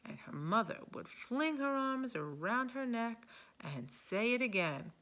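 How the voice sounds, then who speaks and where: A woman reads a children's picture book aloud. Her pace is slow and unhurried, and she tells the story expressively, as if to young listeners. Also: on the high side, sing-song, a bit bright.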